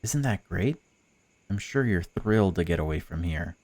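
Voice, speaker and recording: A man's voice: sits low, animated, moderate pace, darkish tone. A man voicing a female stalker in a visual novel, a chatty, patronising captor who pretends to care. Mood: breezy, patronising. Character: cold, mocking female stalker, falsely caring captor. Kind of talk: visual novel dialogue read aloud.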